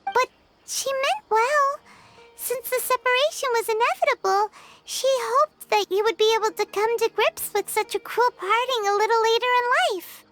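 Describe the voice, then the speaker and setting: A woman voicing a small, kind, earnest fairy girl companion in a video game, with a squeaky childlike voice. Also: moderate pace, fairly bright tone. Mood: gentle, earnest. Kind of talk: English video game voice acting.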